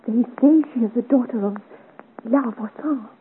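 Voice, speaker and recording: An actress playing a timid, gentle widowed companion to a noblewoman, hesitant and meek, in a 1950s radio serial. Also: on the high side, normal speed, close to an everyday voice. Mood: fearful. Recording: hissy, muffled old radio recording.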